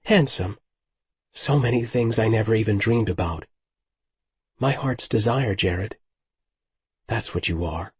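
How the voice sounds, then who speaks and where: A man voicing a tender, earnest young woman in love, in a husky, breathy voice, reading an audiobook. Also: fairly low pitch, unhurried, long pauses, darkish tone, no room sound. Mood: adoring.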